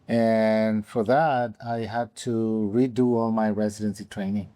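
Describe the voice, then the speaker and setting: A man, an OB/GYN guest telling his career story in halting, unhurried speech full of ums. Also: lowish voice, flat delivery, mellow, dry recording. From Mexico. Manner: halting, with frequent ums and restarts. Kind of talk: conversational interview answers.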